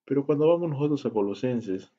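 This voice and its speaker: A man teaching a Bible study lesson, in a measured, deliberate style that moves through lists and rhetorical questions. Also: medium pitch, expressive, warm tone, highs cut off. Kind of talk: slow, deliberate teaching lecture.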